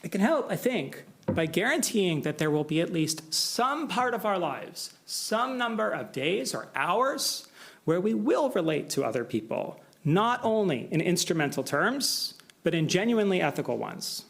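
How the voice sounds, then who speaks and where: An American man delivering an academic symposium lecture, measured and explanatory, with frequent asides. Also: high-pitched, sing-song, creaky, fairly bright tone. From United States. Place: lecture hall.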